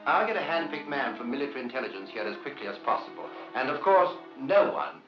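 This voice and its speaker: A man's voice: medium pitch, sing-song, quick pace. A man acting a stern, secretive chief of a research station in a 1950s film. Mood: grave, commanding. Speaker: film actor. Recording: dull echoey vintage film soundtrack, loud music.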